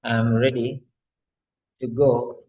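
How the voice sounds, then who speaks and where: A man voicing a frail ninety-year-old client who is moving to a retirement home, said in slow, halting phrases. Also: medium pitch, little pitch movement, warm tone, dull audio, close to an everyday voice.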